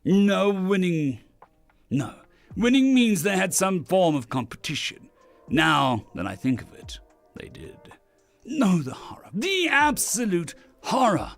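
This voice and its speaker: A man's voice: high voice, big pitch swings, slow, gravelly, faint music behind, pitched above the performer's own voice, full character voice. A man voicing a proud alien drinking champion, narrating a story in a theatrical, boastful, melodramatic way. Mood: horrified, melodramatic. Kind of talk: dramatic first-person story narration.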